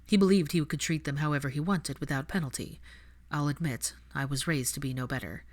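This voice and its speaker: A woman narrator voicing a patient, wry young aristocratic father in a measured, dry way for a fan fiction reading. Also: deep voice. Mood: reflective, measured.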